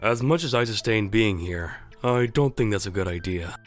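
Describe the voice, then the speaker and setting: Audio drama role: hesitant, formal young gent male actor, uneasy type, low voice, faint music underneath. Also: animated, moderate pace, close to an everyday voice. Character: squeamish, formal, polite young man.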